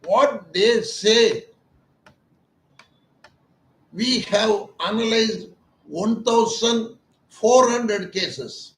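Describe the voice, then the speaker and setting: A man's voice: high voice, drawn-out words, long pauses. A man, an ENT doctor explaining his clinic's treatment in an earnest, emphatic way that often repeats its points as questions. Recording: echoey room.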